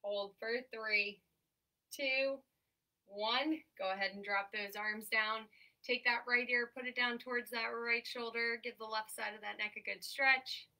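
A woman's voice: fairly high pitch, steady tone, pushed and bright. A young woman, an occupational therapist, leading an exercise class in an upbeat, encouraging way, congested from allergies and breathing a little heavily. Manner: upbeat, encouraging, counts down holds slowly. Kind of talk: guided workout instruction. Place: small room at home, in front of a banner backdrop. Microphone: camera mic from across the room. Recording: voice from a distance off a camera mic.

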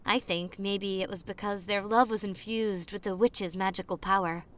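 A young woman playing a popular college girl on a spooky romantic date, in an ASMR roleplay with a hushed, dreamy manner and dramatic pauses. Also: mid-range voice, fairly even intonation, lightly acted. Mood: dreamy, storytelling. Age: young adult.

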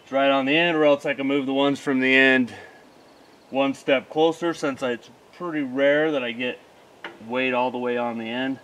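A man's voice: medium pitch, fairly even intonation, normal speed, fairly bright tone, low-quality recording. A man casually explaining a DIY job as a vlogger, matter-of-fact and thinking aloud. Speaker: homesteader vlogger. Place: outdoors at a homestead sawmill site.

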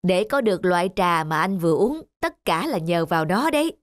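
An actor playing a proud, gentle tea-making gardener in a children's cartoon, explaining in a measured, lyrical way. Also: male voice, high-pitched, expressive, no echo, studio quality. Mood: proud, calm. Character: proud, gentle male gardener who makes flower tea. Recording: loud children's music behind.

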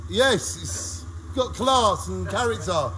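A man's voice: sits high, expressive, slow pace, fairly bright tone, a little hiss or hum. Chatty man vlogging at a car wash. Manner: chatty, showman-like, addresses viewers directly. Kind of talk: cheerful vlog commentary. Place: open car wash bay, outdoors. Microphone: phone held at arm's length.

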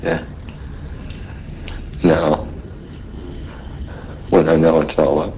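Dying rough sailor in radio drama, super low mellow voice, weak n hurting, bit of noise. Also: male voice, little pitch movement, very slow, heavily acted, low-quality recording. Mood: resigned weary. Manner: rough uneducated sailor speech. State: weak, in pain. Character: dying merchant sailor, stoic, rough-spoken, weary.

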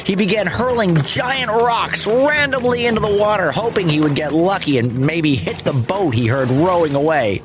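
A man's voice: sits high, expressive, moderate pace, few pauses, music in the background. A man narrating a scripted myth for children in a dramatic, playful manner. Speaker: storyteller, host.

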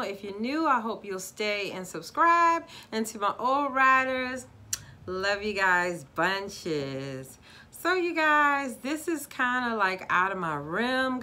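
A woman in her forties from Baltimore with a Baltimore accent, chatting casually to viewers in a living-room video review. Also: a little high. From Baltimore, USA. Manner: animated, talks with her hands, addresses viewers as guys. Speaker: YouTube vlogger. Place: decorated living room, indoors.

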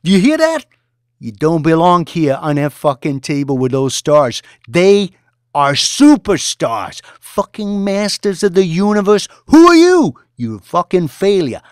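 A volatile young boss who bellows and curses, voice jumping high and wide, as a male storyteller puts him on. His tone swings wildly from line to line, and the recording is close and dry with no room sound. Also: big pitch swings, moderate pace, dry recording. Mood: raging. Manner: shouting, swearing, aggressive New York street talk.

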